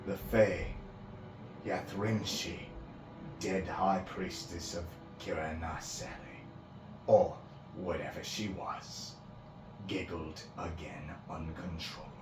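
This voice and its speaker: Deep-voiced, unhurried male story narrator. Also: steady tone. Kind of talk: dramatic fantasy story reading. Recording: roomy echo, music behind.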